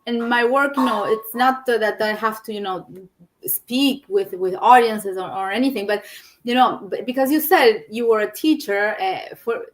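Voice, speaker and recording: A woman hosting a live-stream chat on a video call. She talks in a halting way and often restarts her phrases. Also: on the high side. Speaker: host.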